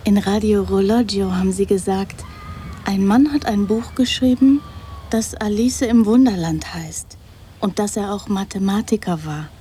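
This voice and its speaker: A woman's voice: mid-range voice, steady tone, unhurried, warm tone, a little hiss or hum, close to an everyday voice. An actress playing a naive, dreamy young woman in a radio drama, speaking in an earnest, artless and insistent way. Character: naive, dreamy, poor young woman, eager and literal-minded. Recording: studio radio drama with background ambience.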